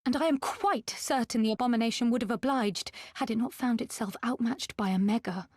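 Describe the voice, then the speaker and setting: Woman playing a high, husky-voiced scholar. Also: a little fast, darkish tone, no echo, clean studio sound, natural voice. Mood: awed, appalled. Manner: emphatic, formal phrasing. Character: learned, articulate woman, shaken by an ancient machine. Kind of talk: dramatic video game cutscene acting.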